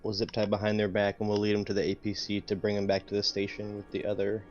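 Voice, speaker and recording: A man's voice: on the low side, monotone, fast talker, highs cut off, soft background music. A man playing a tabletop game, hesitant and full of questions. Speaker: player. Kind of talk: casual tabletop play.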